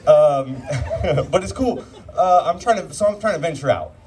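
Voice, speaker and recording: A man's voice: a little high, expressive, normal speed, a little mellow, distant mic. A young man from Orange County doing a rambling, self-mocking stand-up set in a brew pub comedy club. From Orange County, California. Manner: self-deprecating, rambling with filler words.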